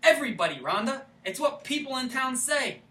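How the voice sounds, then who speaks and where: A woman playing a persistent folksy suitor, bright voice. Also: high-pitched, lively intonation, a little fast. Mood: teasing, needling. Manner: insistent, folksy, repetitive. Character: persistent, teasing small-town suitor, casual and coaxing. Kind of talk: staged play reading. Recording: roomy, distant microphone, faint noise.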